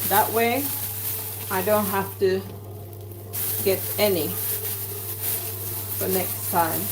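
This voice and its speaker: A woman's voice: medium pitch, very slow, noisy background. Jamaican woman chatting while she cooks. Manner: chatty, talks herself through each step. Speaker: home cook vlogger. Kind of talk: casual cooking vlog talk. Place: home kitchen. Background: clatter of dishes and cutlery.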